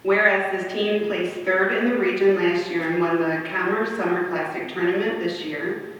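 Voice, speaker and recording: A woman's voice: fairly low pitch, fairly even intonation, normal speed, a bit bright. A woman city councilwoman reading out a formal proclamation at a public meeting. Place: large indoor council chamber. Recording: echoey hall from far off, muffled, faint noise.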